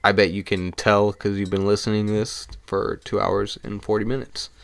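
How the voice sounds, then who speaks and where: A young man hosting his own solo podcast, talking casually and with swearing while hoarse and losing his voice. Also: lowish voice, level tone. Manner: casual and profane, talks fast in bursts. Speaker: podcast host.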